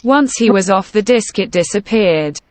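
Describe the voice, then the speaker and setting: A woman playing a tabletop game, calmly narrating what her character says and does. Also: medium pitch, normal speed, dry recording. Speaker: player.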